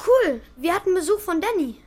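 A performer playing an eager, awkward schoolboy with a hesitant, excitable manner in a children's radio play. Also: very high voice, brisk, a little hiss or hum.

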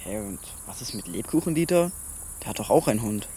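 A man's voice: fairly low pitch, very animated, a little fast, dark tone, a little hiss or hum. A man playing a casual, teasing but caring teenage big brother in a naturalistic children's radio drama, with a blunt way of talking. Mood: questioning.

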